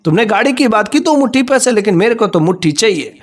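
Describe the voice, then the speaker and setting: A man's voice: high voice, expressive, fast, pitched above the performer's own voice. A man voicing a crafty, vengeful young farmer's son in a folk story, stubbornly repeating his demands. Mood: insistent, threatening. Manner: insistent, repetitive demanding.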